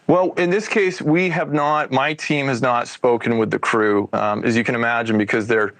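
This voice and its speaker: A man's voice: mid-range voice, steady tone, normal speed, fairly bright tone, no echo, poor audio. An American man speaking as an expert guest, explaining a case in a conversational interview. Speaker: expert guest, UFO investigator and former federal agent.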